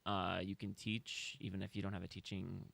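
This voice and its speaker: A man from Kansas City talks through his career as a podcast guest, chatty and wry. His voice sits low with a gravelly edge. The sound is dry and close. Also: flat delivery, normal speed, fairly bright tone, dry recording. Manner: chatty and wry, self-deprecating jokes. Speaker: guest, real estate agent. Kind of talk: casual podcast chat.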